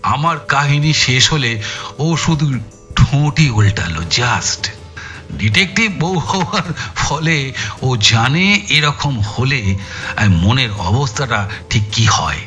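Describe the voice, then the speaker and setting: An actor playing an exhausted, frustrated police detective in an audio thriller, with a halting, emphatic way of telling things. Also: male voice, mid-range voice, animated, pushed and bright, faint music behind. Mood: weary, indignant. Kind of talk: dramatized audio thriller acting.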